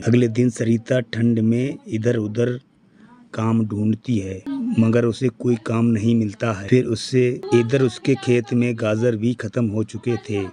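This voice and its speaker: A man's voice: mid-range voice, warm tone. A man narrating a scripted moral story for a cartoon.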